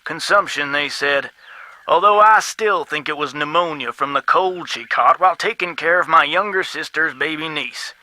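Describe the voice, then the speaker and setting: A man's voice: on the high side, normal speed, bright, forceful tone, dry recording. An actor playing a folksy, long-winded man with a Southern US accent, rambling in a game. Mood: folksy reminiscing. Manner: garrulous, drawling folksy storytelling. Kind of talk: game voice acting.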